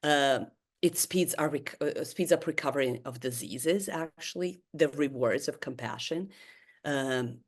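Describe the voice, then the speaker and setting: A woman's voice: sits low, a little slow. Woman in her fifties, hesitant webinar lecture. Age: 50s. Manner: hesitant, many fillers and restarts. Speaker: therapist giving a webinar talk.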